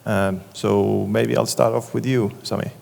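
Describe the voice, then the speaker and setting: A man's voice: fairly low pitch, little pitch movement, a little slow, vocal fry, a little mellow. A man moderating a conference panel, talking loosely with many fillers. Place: conference stage in a large hall. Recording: long hall reverb.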